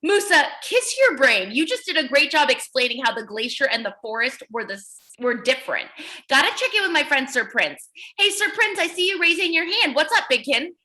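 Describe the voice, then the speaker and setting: A woman's voice: high-pitched, sing-song, a little fast, pushed and bright. A woman teaching young children in an interactive read-aloud, encouraging them with praise and questions and repeating their answers back.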